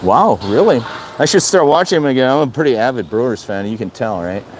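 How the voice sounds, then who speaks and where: A man's voice: mid-range voice, expressive, a little fast, background noise. An older man from Wisconsin chatting casually on a live stream, rambling and repeating himself as he answers viewer comments. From Wisconsin, USA. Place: outdoor city balcony.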